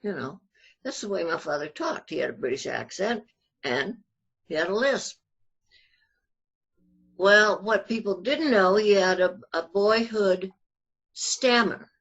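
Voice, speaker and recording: An older woman being interviewed, telling family anecdotes conversationally in a halting but animated, storytelling manner, on muffled audio. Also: mid-range voice, slightly rough. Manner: halting, animated retelling with acted-out quotes.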